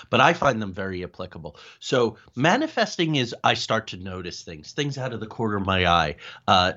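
Man, slightly deep voice, explanatory conversational delivery, interview guest, muffled low-fidelity audio. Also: fairly bright tone. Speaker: guest, paranormal investigator.

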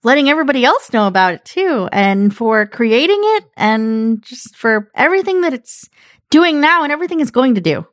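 A woman with a fairly high voice hosts a casual podcast interview, recorded close and dry with no room sound. Her manner is upbeat and encouraging toward her guest. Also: big pitch swings, normal speed, dry recording.

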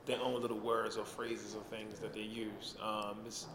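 A man's voice: fairly low pitch, flat delivery, normal speed. Man, author, reflective with fillers, echoey hall, audible background noise. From Baltimore, USA. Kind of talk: interview clip. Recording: roomy, reverberant clip with background noise.

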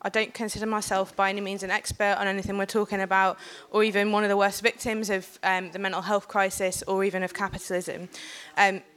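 A woman with a cold giving an earnest, personal talk on a panel in a packed room, building steadily to forceful points. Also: mid-range voice, flat delivery, quick pace, hardly any pauses, clean tone. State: has a cold. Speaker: panel speaker and organiser.

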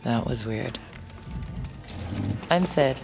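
A wary young woman, very low-voiced with a noticeable fry. She is dry, guarded and lovesick, speaking intimately as in an audio drama, over soft music. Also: animated, drawn-out words, exaggerated voice. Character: wary, lovesick young woman with secret mind-reading power. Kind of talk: intimate audio drama acting with inner narration.

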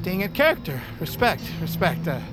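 An actor playing a goofy, chatty, easily spooked salesman in a comedic audio drama, rambling and excitable. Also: high-pitched, normal speed, loud music behind the voice. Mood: friendly chatter. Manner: rambling, excitable, talks to himself.

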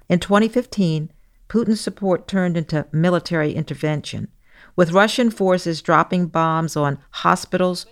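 Gravelly-voiced woman hosting a news podcast. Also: fairly low pitch. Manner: measured, explanatory. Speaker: guest podcast host. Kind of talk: scripted news podcast narration.

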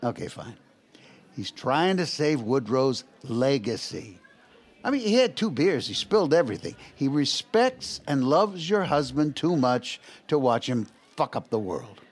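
An actor playing a goofy, folksy, boozy vice president in a comedic audio drama, with a stammering, rambling, crude and jokey delivery. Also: male voice, medium pitch, big pitch swings, a little slow, husky. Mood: gossipy, exasperated.

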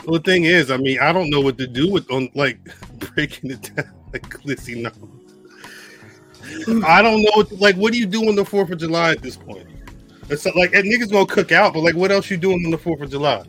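A man co-hosting a podcast, joking loudly and laughing through casual banter. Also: fairly high pitch, a little fast, faint music behind. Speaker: podcast co-host. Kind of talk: casual chat.

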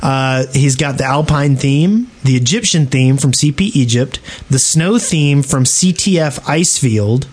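A man's voice: medium pitch, lively intonation, a little slow, hardly any pauses, a little mellow. A man co-hosting a gaming podcast, chatting casually and reading out listener questions. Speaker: podcast co-host.

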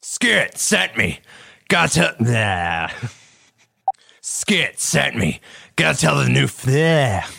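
A man's voice: medium pitch, very animated, takes it slowly, vocal fry, long pauses, bright, forceful tone, a little hiss or hum. A man voice acting in a recording session, restarting lines and joking between takes. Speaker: voice actor.

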